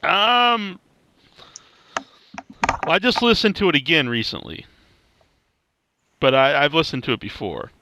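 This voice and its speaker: A man's voice: on the high side, sing-song, unhurried, long pauses, background noise. A man hosting a podcast in a casual, hesitant way, often repeating himself and backtracking. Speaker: podcast host, interviewer. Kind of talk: casual chat.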